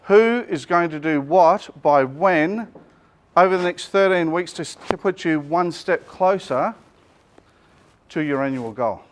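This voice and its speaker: A middle-aged Australian man, a business coach, running a joking, energetic seminar and quizzing his audience, speaking without a microphone to the room. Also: fairly high pitch, a little slow, slight background noise. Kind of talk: lively workshop presentation to a live room.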